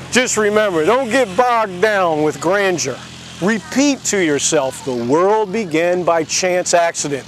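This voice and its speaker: A man's voice: sits high, a little hiss or hum. A man narrating a satirical story in a mock-naive, sarcastic explaining manner.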